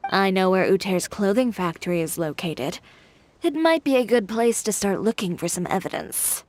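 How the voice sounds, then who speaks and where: A woman's voice: medium pitch, expressive, normal speed, noisy background, close to an everyday voice. A woman voicing a confident, stubborn young fashion designer who talks in a cool, wry, self-assured way. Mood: determined. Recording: game audio over soft music.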